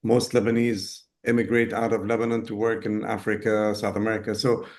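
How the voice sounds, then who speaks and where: A man from Ghana in his fifties, talking as an interview guest, pausing often with fillers and restarts. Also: on the low side, flat delivery, darkish tone. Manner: hesitant, many fillers and restarts. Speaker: guest, business owner. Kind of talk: casual interview talk.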